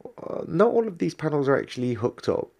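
A man's voice: fairly high pitch, big pitch swings, a little fast, gravelly, a little mellow. A man with a cold and a stuffy nose, rambling through casual gameplay commentary with many ums and restarts. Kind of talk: casual let's-play commentary.

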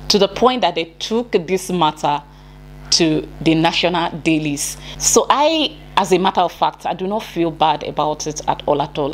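A woman's voice: lowish voice, slight background noise. A woman with a Nigerian accent talking to camera in an emphatic, defiant vlogging style, often repeating herself for weight. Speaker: YouTuber. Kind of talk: opinionated talking-head vlog. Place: indoor room at home.

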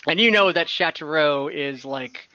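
Bright-voiced man narrating a tabletop game. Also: on the high side, no room sound. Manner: casual, halting, lightly amused. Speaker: game master. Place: at home indoors on a group video call. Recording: slightly dull video-call audio.

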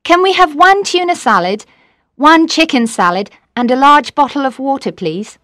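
A woman's voice: sits high, lively intonation, moderate pace, sharp tone, no room sound, clean studio sound. A woman playing a practical young woman, concerned for her friend, in an audiobook story. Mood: concerned, polite. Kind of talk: audiobook character dialogue.

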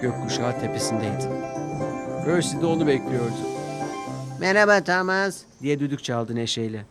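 A man narrating a children's story in an expressive, scripted storytelling style. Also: medium pitch, brisk, over loud music.

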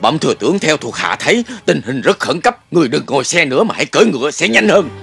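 An actor dubbing an anxious, deferential army officer in an animated historical drama, speaking formally and urgently. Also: male voice, a little high, fast talker, gravelly, faint music behind, heavily acted. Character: anxious, deferential male army officer reporting to his lord.

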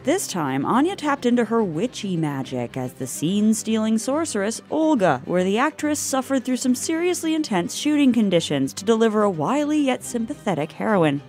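A woman narrates a scripted video essay with a fairly high, very lively voice that rises and falls a lot. She reads in a measured, polished way while soft music plays underneath.

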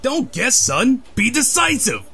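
A man's voice: extremely high pitch, huge pitch swings, unhurried, pushed and bright, husky, heavily acted. A man playing a hard-driving newspaper chief in a comic-book dub, barking orders in a commanding way. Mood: brusque.

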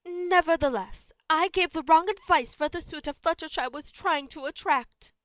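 A refined lady unicorn acted by a woman, high in voice and full of genteel drama. She is doting and theatrical, as in a fan audio play, recorded very dry. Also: normal speed, a bit bright, no echo. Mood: affectionate, haughty. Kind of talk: amateur audio drama acting.

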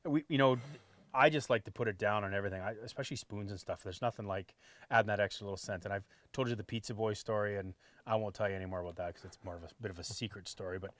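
A man's voice: lowish voice, racing, dry recording. A man in his forties hosting a fishing show, chatting casually about his gear outdoors on a boat with a lapel mic. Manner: relaxed and chatty, tags lines with "right?".

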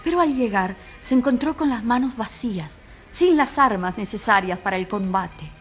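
An actress playing a solemn, compassionate narrator at a tribunal, grave and rhetorical in scripted dramatic narration. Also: female voice, on the high side, animated, moderate pace, faint music behind, natural voice. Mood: solemn, indignant. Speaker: narrator and moderator of a dramatized trial.

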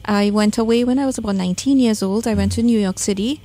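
A woman's voice: mid-range voice, fast, dark tone. A woman with a Trinidadian accent, telling personal stories as a guest in a radio studio interview. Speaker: radio guest, spiritual medium. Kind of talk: conversational interview, personal storytelling. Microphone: studio microphone.

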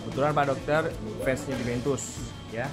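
Upbeat man vlogging over loud music. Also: medium pitch, steady tone, brisk. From Indonesia. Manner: upbeat, slangy, addressing viewers as pals. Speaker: travel vlogger and host. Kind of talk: casual vlog chat to viewers. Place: museum, stadium stands and changing room. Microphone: phone held at arm's length. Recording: loud music over phone vlog audio.

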